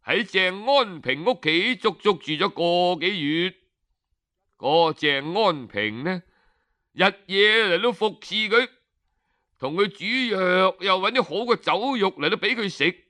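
Slow, high-voiced male storyteller. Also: lively intonation, bright, forceful tone. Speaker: storyteller, audiobook narrator. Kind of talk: traditional Cantonese oral storytelling narration.

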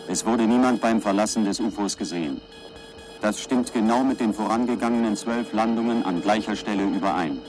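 An actor playing a stern army commander who delivers a dry, formal confidential report in a radio drama. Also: male voice, lowish voice, close to an everyday voice, poor audio. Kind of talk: radio drama military dispatch.

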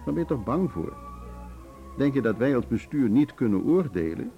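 Lively, suave chairman on dull recording. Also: mid-range voice, soft background music. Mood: persuasive, soothing. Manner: measured, persuasive, urbane. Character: diplomatic, suave male board chairman smoothing things over. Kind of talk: Dutch radio drama acting.

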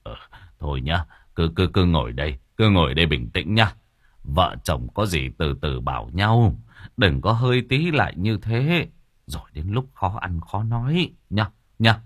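A man's voice: lowish voice, expressive, fairly bright tone. A man voicing an older village neighbor, fussy and coaxing. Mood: soothing. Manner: fretful, coaxing, drawn-out pleading.

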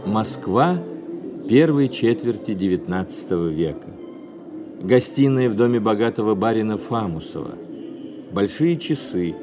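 A man's voice: fairly low pitch, lively intonation, measured pace. A man reading scripted scene-setting stage directions as the narrator of a radio play. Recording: music behind the narration.

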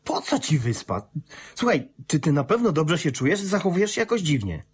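Young adult son, husky, lively shifting intonation, worried, questioning, radio drama. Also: male voice, a little high, normal speed. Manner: questioning, uneasy. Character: worried, suspicious grown son of the widow. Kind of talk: Polish radio drama acting.

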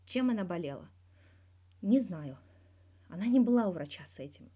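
A woman's voice: lowish voice, lively intonation, normal speed, mellow, breathy edge. A woman voicing a candid, wry young male star athlete giving a guarded but blunt interview in a story. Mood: subdued, frank. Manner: guarded yet blunt, dryly teasing, swears freely.

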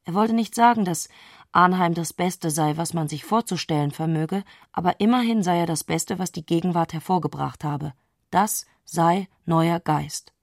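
A woman's voice: fairly low pitch, moderate pace, no echo, studio quality. A woman narrating a literary radio drama from a prose text.

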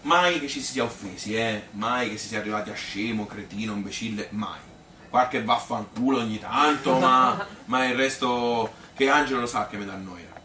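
A man's voice: medium pitch, normal speed, pushed and bright, close to an everyday voice. A man playing a plain-spoken Tuscan mother who reminisces in a rambling, hesitant, conversational stage monologue full of self-questioning. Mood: rueful. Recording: roomy, distant stage recording with noise.

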